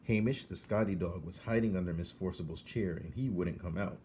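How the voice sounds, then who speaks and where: A man reading a children's novel aloud as narrator, in an expressive storytelling style. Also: low voice, brisk, slight background noise. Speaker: storybook reader.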